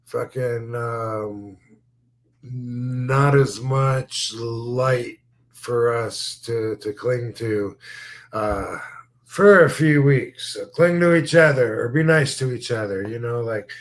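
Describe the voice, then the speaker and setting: A man's voice: medium pitch, slow. A man in his fifties hosting a podcast, chatting casually in a rambling, laid-back way with frequent swearing. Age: 50s. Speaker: podcast host, former wrestler.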